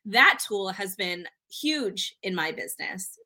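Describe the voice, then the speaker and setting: A woman talks as a guest on a casual business podcast. Her voice is bright, and her manner is upbeat and easygoing, with small repeated words as she explains her process. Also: medium pitch, lively intonation, slow pace. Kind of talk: casual interview chat.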